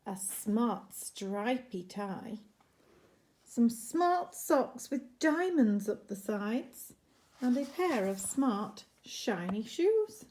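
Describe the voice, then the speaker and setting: A woman's voice: fairly high pitch, sing-song, drawn-out words, a little mellow. A woman narrating a children's story expressively, like a teacher, with chatty asides to her listeners. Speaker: teacher reading a picture book.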